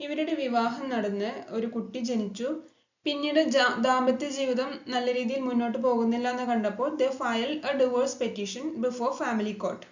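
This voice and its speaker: Malayali lady explaining law stuff formally, kinda high voice, echoey dull recording. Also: steady tone, moderate pace. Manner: formal, mixes in English legal terms. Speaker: legal explainer host. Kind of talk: scripted explanatory narration. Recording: dull lo-fi sound, echoey room.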